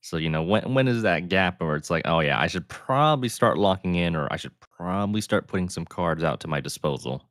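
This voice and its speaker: A male co-commentator with a very low, smooth and soothing voice, recorded dry and close. He talks in a calm, thoughtful way, weighing the strategy before he speaks. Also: fairly quick, hardly any pauses, dry recording. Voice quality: deep, smooth voice. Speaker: player and guest commentator. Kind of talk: casual game commentary.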